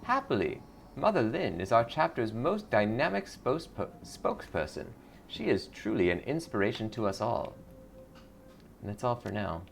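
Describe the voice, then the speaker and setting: A man's voice: mid-range voice, sing-song, normal speed, vocal fry, a little hiss or hum. A man voicing a blissful, eager cult greeter in a video game, sweetly pious and salesy. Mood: serene, eager.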